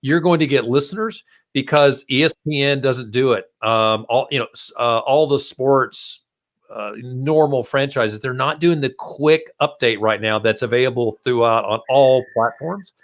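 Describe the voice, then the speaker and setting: A man's voice: medium pitch, normal speed. A man hosting a casual marketing podcast, chatting informally and speaking straight to his listeners. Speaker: podcast host.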